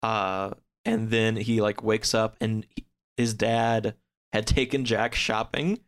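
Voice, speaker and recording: A man in a casual podcast chat, recapping a story in a halting, rambling way full of filler words. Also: lowish voice, little pitch movement. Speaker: podcast guest.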